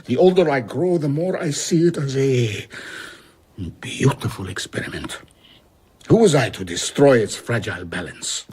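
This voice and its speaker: Husky, haunted older man. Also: medium pitch, lively intonation, normal speed, a little mellow. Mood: wistful. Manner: reflective, earnest. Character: haunted, wistful older male former traveller. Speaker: narrator and central character. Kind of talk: audio drama narration and dialogue.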